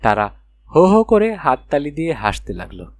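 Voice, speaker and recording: A man's voice: fairly high pitch, sing-song, moderate pace, no echo. A man narrating a children's fairy tale expressively as an audiobook reader.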